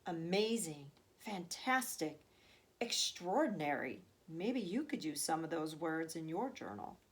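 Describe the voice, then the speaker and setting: A woman narrating a children's storybook as a teacher would, with expressive, deliberate phrasing. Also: deep voice. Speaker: teacher reading aloud to third graders.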